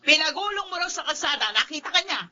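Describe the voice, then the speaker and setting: An actress with a Filipino accent playing a furious, quarrelsome market vendor mother in a radio drama, shrill and scolding. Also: expressive, fast, sharp tone, heavily acted. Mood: accusing. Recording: dull audio with music underneath.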